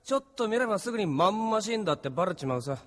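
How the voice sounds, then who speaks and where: An actor playing a cocky, grumbling young resistance fighter with a husky voice and rough, slangy manner in an anime audio drama. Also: male voice, high-pitched, sing-song, racing, fairly bright tone, in a large hall. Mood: irritable, cocky.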